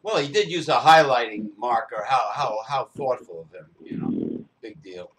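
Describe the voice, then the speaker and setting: A man's voice: mid-range voice, lively intonation, normal speed, a bit bright, a little hiss or hum, poor audio. Sarcastic, indignant New man. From New Jersey, USA. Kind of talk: uncensored casual talk show rant.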